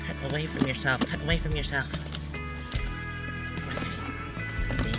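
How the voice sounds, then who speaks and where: A man with a fairly high voice talks casually, as a travel vlogger does, with music clearly behind him. His phrasing is informal and playful, full of small exclamations. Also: steady tone, normal speed. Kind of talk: casual vlog chat.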